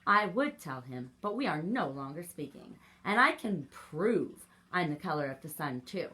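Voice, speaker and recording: A woman voicing a self-righteous yellow crayon in a children's storybook, insistent and emphatic as it argues. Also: sits low, very animated, moderate pace, full character voice, poor audio. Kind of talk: storybook read-aloud for children.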